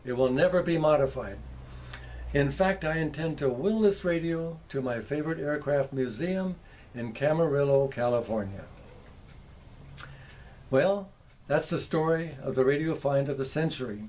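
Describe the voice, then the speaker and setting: Mellow, folksy man presenting a show-and-tell. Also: on the high side. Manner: folksy, theatrical exclamations, wry humour. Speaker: presenter, vintage radio collector.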